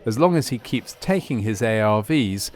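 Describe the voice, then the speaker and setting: A man reading scripted narration for a medical training film in a measured, clinical way. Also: on the low side, expressive.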